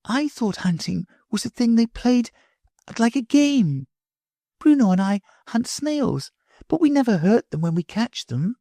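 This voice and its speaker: A woman reading an audiobook, voicing a gentle, earnest little girl in a soft, pleading way. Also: on the high side, very animated, a little slow, dry recording, studio quality, pitched above the performer's own voice, full character voice. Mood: awed. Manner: soft, pleading, wide-eyed. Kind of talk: audiobook character voice.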